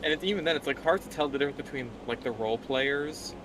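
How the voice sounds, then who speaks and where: A man's voice: fairly high pitch, quick pace, noisy background. A man chatting casually as a guest on a stream. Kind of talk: casual chat.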